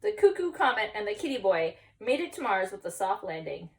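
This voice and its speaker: Female story time reader for young children, expressive range, explanatory asides, recorded a little off-mic. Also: medium pitch, fairly quick, distant mic.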